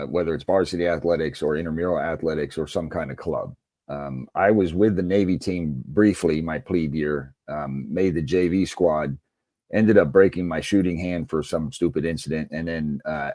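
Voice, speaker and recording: Male, middle-aged, American. Deep register, dry recording, anecdotal ex-coach guest in conversation. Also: darkish tone, dry recording. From United States. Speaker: interview guest, former basketball coach and author. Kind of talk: conversational interview storytelling.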